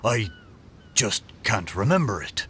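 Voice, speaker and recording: A jolly old talking boat, wildly swooping voice. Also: male voice, fairly low pitch, sing-song, pushed and bright, soft background music, heavily acted. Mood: hearty. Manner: salty sailor talk, hearty, drawn-out. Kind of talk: video game voice acting.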